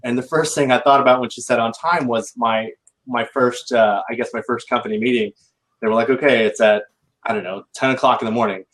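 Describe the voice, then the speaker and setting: American man, halting casual talk. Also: mid-range voice, low-quality recording. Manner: halting, many restarts, animated when storytelling. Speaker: recruiting sourcer and veteran. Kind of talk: casual panel chat.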